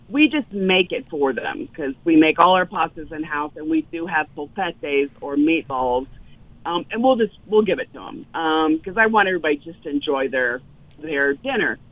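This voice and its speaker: A woman's voice: fairly low pitch, dry recording. A woman, a chef telling stories as a guest in a casual interview, with many ums. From Louisiana, US South. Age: 50s. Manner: animated storyteller, frequent ums. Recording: tinny phone line, dry.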